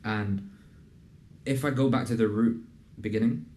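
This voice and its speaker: A young man hosting a casual interview and pitching his program in an earnest, conversational way, with plenty of fillers. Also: steady tone, measured pace, darkish tone. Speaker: host and program founder. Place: in a building in a city business district.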